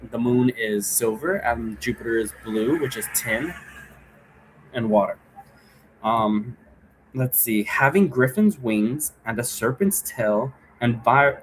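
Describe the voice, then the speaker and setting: A man's voice: medium pitch, slight background noise, poor audio. A man giving a casual, rambling tutorial to viewers in an informal, repetitive way, often checking in as he goes. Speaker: host giving an occult divination tutorial.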